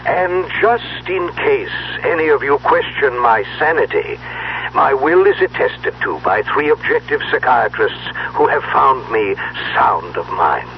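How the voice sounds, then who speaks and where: A man playing a theatrical magician patriarch in a 1970s radio drama, speaking in grandiose, deliberate, formal phrases. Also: big pitch swings. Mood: grandiose, commanding. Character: dead magician patriarch, theatrical, speaking through a recorded will. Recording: dull noisy old radio recording, low hum.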